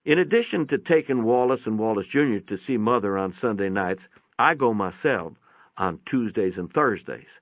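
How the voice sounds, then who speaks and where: A man's voice: fairly low pitch, animated, normal speed, dry recording, narrow, tinny audio. A man narrating a first-person short story in a dramatic reading, with a conversational, folksy storytelling manner. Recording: thin, band-limited, radio-like sound.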